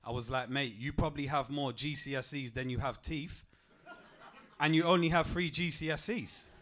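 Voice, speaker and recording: A young man, doing a stand-up comedy set on a club stage with a deadpan, punchy delivery. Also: normal speed. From England. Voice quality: deep voice.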